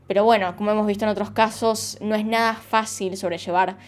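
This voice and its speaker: A woman's voice: medium pitch, steady tone, a little fast. A young adult woman with an Argentine accent, earnestly narrating a true crime case to her viewers as a YouTube host. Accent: Argentine Spanish. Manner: earnest, direct, addresses viewers.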